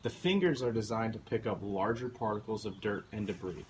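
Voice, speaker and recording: A man's voice: on the low side, a little fast, a little mellow, a little hiss or hum. Upbeat man demonstrating in a garage. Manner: upbeat, instructional, addresses viewers directly. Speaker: product demonstrator. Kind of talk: casual product demo. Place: home garage. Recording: background music under voice. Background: background music.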